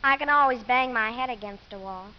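Female performer as wry tough young daughter, high pitch, lively, radio acting, muffled recording. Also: fairly quick, a little hiss or hum, natural voice. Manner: sassy, colloquial. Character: wry, tough-talking little girl, devoted daughter. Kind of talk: 1950s radio drama acting.

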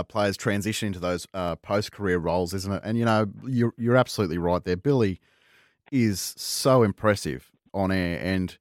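A man hosting a relaxed podcast chat, easygoing, with the odd "uh" as he gathers his thoughts. His voice sits low. The sound is close and dry. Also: no room sound. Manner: easygoing, with hesitant fillers. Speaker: podcast host. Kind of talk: casual podcast interview.

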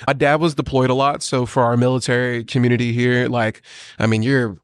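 Animated storytelling man in a dry room. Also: medium pitch, brisk, smooth, no room sound. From grew up on US military bases. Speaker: pastor and bartender guest. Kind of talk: casual interview chat. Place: coffee shop.

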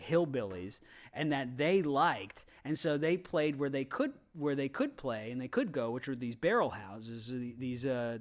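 A man in casual, opinionated podcast talk, halting and rambling, with frequent swearing. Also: mid-range voice. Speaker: podcast panelist.

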